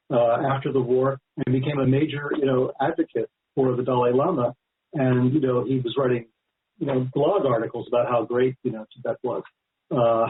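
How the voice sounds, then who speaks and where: A man with a mid-pitched voice comes through a narrow, phone-like connection. He talks as a guest author being interviewed, laying out long explanations. His delivery is conversational and emphatic, wandering into side points. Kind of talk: radio interview, extended explanation. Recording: narrow-band phone-like line.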